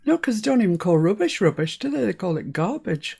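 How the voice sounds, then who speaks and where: A woman's voice: medium pitch, big pitch swings, a little fast, creaky, darkish tone, husky. A woman born in Scotland hosting a live crafting stream, chatting casually and laughing often. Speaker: crafting channel host. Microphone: live-stream setup.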